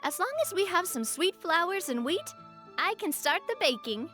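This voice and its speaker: A woman's voice: very high voice, lively intonation, moderate pace, bright, forceful tone, heavily acted. A woman playing a cheerful, gracious young woman leader in a video game, speaking politely and expressively. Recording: music behind the voice.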